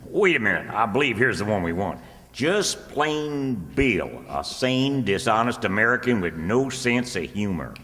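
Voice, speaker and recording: An actor playing an earnest, gullible small-town rustic schemer, folksy and homespun, in old-time radio comedy. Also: male voice, fairly low pitch, very animated, measured pace, bright, forceful tone, full character voice. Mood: curious. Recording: echoey hall with long reverb.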